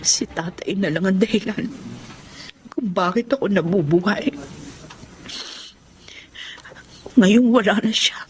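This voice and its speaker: A woman's voice: mid-range voice, moderate pace, background noise, natural voice. A woman playing a lonely, clingy, emotional young woman in a Filipino radio melodrama. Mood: despairing. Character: lonely, clingy young mistress, grieving her father, despairing.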